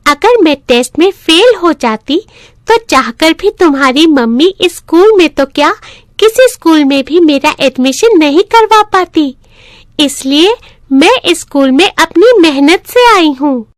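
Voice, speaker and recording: A woman's voice: high-pitched, lively intonation, unhurried, no room sound, clean studio sound, pitched above the performer's own voice. A woman voicing a poor, hardworking orphan schoolgirl in a story, polite, earnest and firm.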